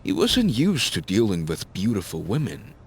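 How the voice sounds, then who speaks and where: A man's voice: fairly low pitch, huge pitch swings, moderate pace, husky. A man narrating a scripted fantasy story as a dramatic audiobook-style narrator.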